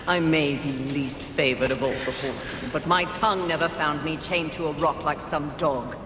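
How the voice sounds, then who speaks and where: A woman's voice: low voice, unhurried, background noise. A woman voicing a bored, mocking, bloodthirsty horseman of the apocalypse in a video game, haughty and sardonic. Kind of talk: video game cinematic voice acting.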